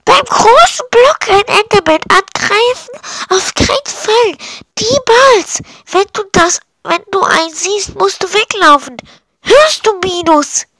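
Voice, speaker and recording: Lady doing a strict village teacher, high pitched, lecturing and emphatic, gets alarmed easily, kids story. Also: slow pace, sharp tone, dry recording, exaggerated voice, low-quality recording. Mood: alarmed, stern. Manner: emphatic, instructive. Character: stern, alarmed village monster-defence teacher.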